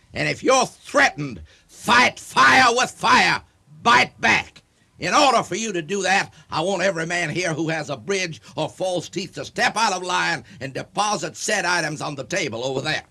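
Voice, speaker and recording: An actor playing a bombastic, paranoid club leader who speechifies pompously and barks commands in a comic radio drama. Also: male voice, sits high, lively intonation, normal speed, vocal fry, bright, forceful tone, a little hiss or hum, exaggerated voice. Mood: rallying, fired up.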